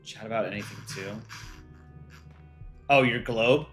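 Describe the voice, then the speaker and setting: A man's voice: on the low side, unhurried, faint music behind. A man hosting an art contest on a livestream, reading out viewer chat and answering it offhand in casual talk.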